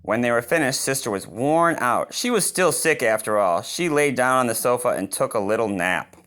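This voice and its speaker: A man reading a picture book aloud as host, expressive and given to chatty reactions to the story. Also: mid-range voice, moderate pace. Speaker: read-aloud reader and channel host.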